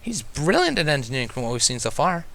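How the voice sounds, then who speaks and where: A man's voice: fairly high pitch, wildly swooping pitch, fast, creaky, a bit bright, voice pushed up, full character voice. A man voicing a polite, bookish young female unicorn who is eager and caring, in a storybook reading. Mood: sweet, approving.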